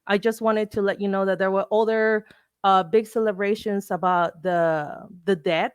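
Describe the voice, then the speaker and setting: A woman's voice: mid-range voice, steady tone, clear, resonant tone, no room sound. Mexican woman presenting, dry close sound. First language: Spanish. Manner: explanatory, halting, searching for words. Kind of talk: informal educational talk with slides.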